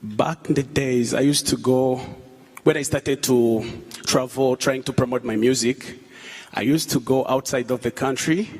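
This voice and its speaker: A Rwandan man in his thirties, a musician speaking on stage at a public event, halting, with fillers and repeated words. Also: medium pitch, a little mellow. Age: 30s. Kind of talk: onstage talk at a public event. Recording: long hall reverb.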